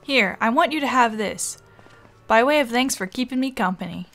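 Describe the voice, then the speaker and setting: A woman's voice: fairly high pitch, animated, normal speed, a bit bright. A woman voicing a chatty, friendly travelling merchant from a fantasy game, with folksy speech in a put-on accent. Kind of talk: reading game dialogue aloud in character. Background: game music.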